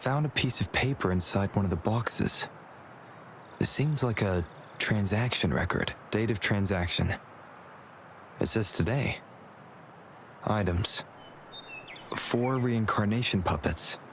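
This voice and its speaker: A man's voice: fairly low pitch, slow, pauses often, a little hiss or hum. An actor playing an observant male adventurer in a video game, matter-of-fact and musing as he puzzles things out.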